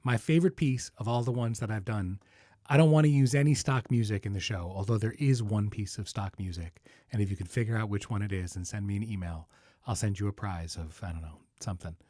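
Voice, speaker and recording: A man's voice: lowish voice, fairly quick, warm tone, no echo, studio quality. A middle-aged man hosting a podcast, speaking casually and wryly straight to listeners. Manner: conversational, wry, informal. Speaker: podcast host and creator. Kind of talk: casual podcast address, answering listener questions.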